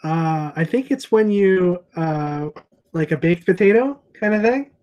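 A man's voice: high-pitched, lively intonation, unhurried, darkish tone, lo-fi sound. A man, co-hosting a casual video show over a call from a small room on an earphone mic. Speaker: show co-host at a comic shop. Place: small room at home, indoors. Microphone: wired earphones on a video call.

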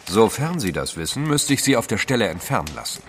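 An actor in a German audio drama playing a cool, sceptical gentleman adventurer with a formal, haughty manner. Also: fairly low pitch, sing-song, fast talker, a little hiss or hum.

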